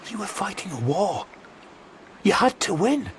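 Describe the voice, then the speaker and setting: A man's voice: sits high, sing-song, measured pace, noisy background, heavily acted. An actor playing a loyal, firm counsellor to a king, formal and admonishing, in a radio drama. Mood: urging. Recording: light outdoor ambience behind voice.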